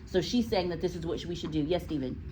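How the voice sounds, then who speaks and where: A woman's voice: lowish voice, quick pace, mellow, a little hiss or hum, rough recording. A woman teacher reading a storybook aloud to a class, with explanatory asides.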